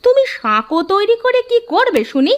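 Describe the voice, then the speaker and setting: Woman acting a high-pitched, scolding wife. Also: very animated, moderate pace. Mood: questioning. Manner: scolding, dismissive. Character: dismissive, no-nonsense village wife in a comedy. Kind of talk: Bengali comedy cartoon dubbing.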